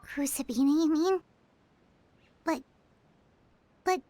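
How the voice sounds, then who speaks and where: A woman voicing a tiny, childlike fairy companion in a video game, with a squeaky voice and an inquisitive, blunt manner. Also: slow pace, mellow, slight background noise. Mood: hesitant, surprised. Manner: speaks of self in third person, inquisitive.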